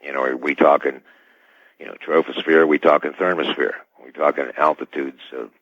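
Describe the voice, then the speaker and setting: A man speaking as an expert guest on a radio show, giving technical answers in a halting, deliberate way. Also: a bass voice, level tone, husky, no room sound. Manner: halting, deliberate, repeats "you know". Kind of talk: technical interview talk. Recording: dull band-limited radio call audio.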